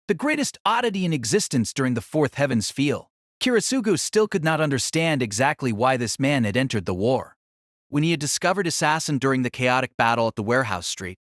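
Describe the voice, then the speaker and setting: A man reading scripted, dramatic novel narration as an audiobook narrator, in a measured, formal way. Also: medium pitch, a bit bright, no echo, studio quality.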